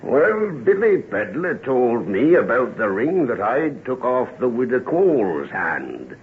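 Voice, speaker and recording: A tinny, faintly noisy old radio drama recording. a gossipy West Country villager, a man who spins dark tales slowly, with a very animated rise and fall. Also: mid-range voice. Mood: gossipy, sly. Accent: English West Country. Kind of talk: old-time radio drama acting, storytelling.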